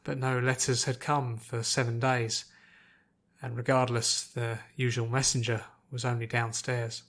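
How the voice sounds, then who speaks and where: Measured man narrating fiction, medium pitch. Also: little pitch movement, a bit bright. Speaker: audiobook narrator.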